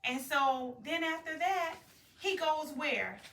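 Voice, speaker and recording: Woman, high voice, animated storyteller prompting a child, echoey distant home recording, faint noise. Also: takes it slowly. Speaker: mother reading a bedtime story with a young child. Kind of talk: interactive storytelling to a child, with questions.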